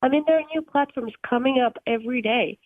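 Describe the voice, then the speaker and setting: A woman's voice: a little high, fast. A woman in her twenties from Russia, a radio guest explaining policy earnestly with many ums and uhs. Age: 20s. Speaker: guest from a tech policy group. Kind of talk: radio interview on a phone line. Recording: tinny phone line, dry.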